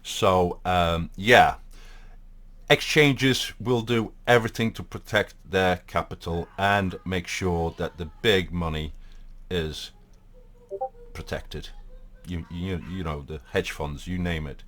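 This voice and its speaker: A man's voice: low voice, fairly even intonation, takes it slowly, a bit bright. A man from Portugal with a Portuguese accent, hosting a casual live talk in a rambling way, with many fillers and restarts. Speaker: host.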